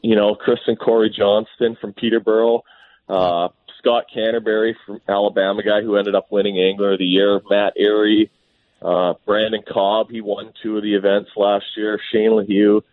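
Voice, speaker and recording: A Canadian man in his thirties, hoarse and scratchy from a cold, talking casually and rambling a bit as a guest. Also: lowish voice, level tone, moderate pace, no echo. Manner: rambling, easygoing, restarts often. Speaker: professional bass angler, guest. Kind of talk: casual phone interview. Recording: tinny phone line.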